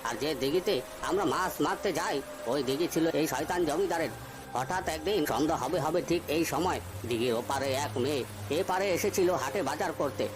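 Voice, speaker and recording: A man's voice: fairly high pitch, fairly quick, natural voice. A man playing a protective, superstitious old village fisherman in a cartoon ghost story, commanding and scolding as he talks. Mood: grave storytelling. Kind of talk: Bengali animated ghost story voice acting.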